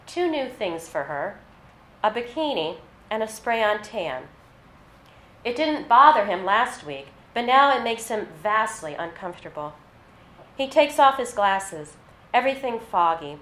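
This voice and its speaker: A woman reading literary fiction aloud at a live event, with a measured, narrative delivery. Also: a little high, a bit bright. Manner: measured, scripted narration with read-out dialogue. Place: live reading in an echoey venue. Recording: roomy echo, faint background noise.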